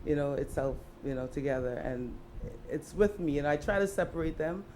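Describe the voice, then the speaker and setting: A woman's voice: deep voice, normal speed, warm tone, a little hiss or hum, rough recording. A woman from Trinidad, an artist and fashion designer, answering interview questions in a reflective way with many "you know" fillers.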